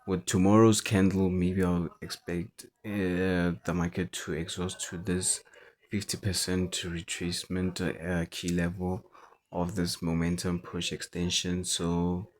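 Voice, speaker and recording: A man who is not a native English speaker, talking through forex charts in a halting way and repeating words as he goes. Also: sits low, level tone. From South Africa. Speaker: forex trader. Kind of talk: chart analysis commentary.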